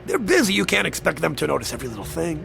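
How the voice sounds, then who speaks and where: A man's voice: a little high, big pitch swings, very fast, vocal fry, background noise, heavily acted. An actor playing a sly, genial, mysterious newspaperman in a radio drama, wheedling and courtly, stammering when pressed. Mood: feigned innocence. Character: sly, genial, mysterious male newspaper reporter and linotype operator. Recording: radio drama with music underneath.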